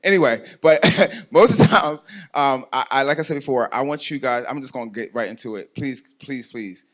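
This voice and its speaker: A man's voice: medium pitch, fairly quick. Host, man, 40s, loose rambling talk with frequent laughs. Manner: rambling, many ums, laughs often. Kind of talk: casual chat interview.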